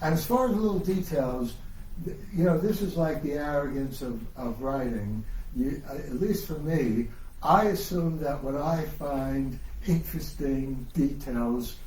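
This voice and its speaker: A man's voice: a little high, unhurried, a little mellow. An older man from the Bronx, an author talking casually and self-deprecatingly to a live audience as he tells stories. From the Bronx, New York. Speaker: author at a book event. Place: bookstore, live event. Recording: echoey room, distant mic, faint noise.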